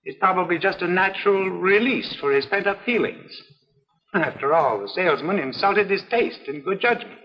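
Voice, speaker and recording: An actor playing a reasonable, reassuring doctor in a 1950s educational film. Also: male voice, high-pitched, lively intonation, moderate pace. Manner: soothing, reasonable. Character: calm, reassuring family doctor. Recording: dull reverberant old film soundtrack.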